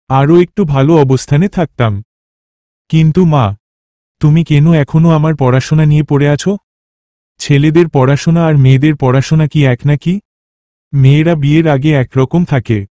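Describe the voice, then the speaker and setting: A man as a grumbling young village husband, mellow. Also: on the high side, no echo, clean studio sound, close to an everyday voice. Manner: grumbling, argumentative. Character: complaining, traditional-minded young village husband and son. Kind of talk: cartoon dialogue.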